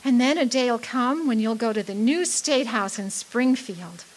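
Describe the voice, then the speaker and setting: A woman's voice: a little high, measured pace, low-quality recording. A woman acting in a radio drama as an adoring, earnest young sweetheart, romantic and hopeful.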